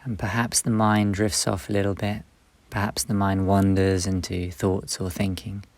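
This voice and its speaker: A man leading a guided meditation, speaking slowly and gently in short, soft phrases with long pauses. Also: sits low, level tone, no echo. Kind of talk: guided meditation, instructional and soothing.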